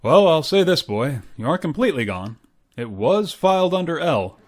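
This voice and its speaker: A male actor plays a blustery, pompous office boss from 1940s radio comedy. His fairly high voice rises and falls dramatically with dry sarcasm. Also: big pitch swings, normal speed. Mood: sardonic, scolding. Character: sardonic, blustery boss who calls employee "boy". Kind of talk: old-time radio comedy acting.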